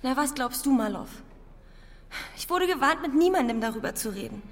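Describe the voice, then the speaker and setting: A woman's voice: sits high, animated, a little fast. A young woman playing a frightened, bitter student in a Soviet-era thriller radio drama, speaking in an anguished, defensive way. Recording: long reverberant hall, music behind.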